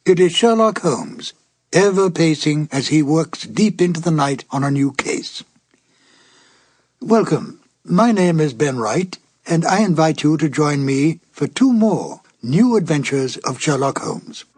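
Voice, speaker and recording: Husky older man narrating, close and dry. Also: fairly high pitch, expressive, a little mellow, no echo. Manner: measured, formal, theatrical storytelling delivery. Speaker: host and narrator. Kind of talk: scripted narration introducing vintage radio mystery episodes.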